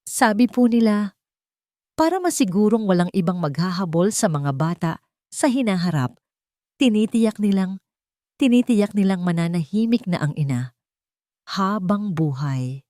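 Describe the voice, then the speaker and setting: A woman's voice: medium pitch, expressive, a little slow, pauses often, dark tone, no room sound, studio quality, natural voice. A woman voicing a timid maidservant who speaks with deferential urgency in a dramatic story. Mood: fearful. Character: timid, urgent young maidservant warning her mistress.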